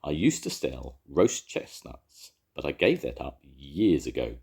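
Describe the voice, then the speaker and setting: A kindly, thrifty village baker, played by a man, chatting in a homely way. His voice is deep and husky with a lively lilt. A storybook voice. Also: measured pace, heavily acted.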